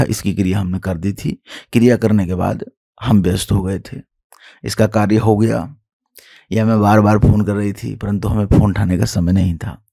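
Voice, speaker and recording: A low-voiced man with a creaky, husky delivery addresses followers as a guru. He is emphatic, repeats himself and poses rhetorical questions. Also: mellow, dry recording, clean studio sound. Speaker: tantric guru. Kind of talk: spoken introduction and explanation to followers.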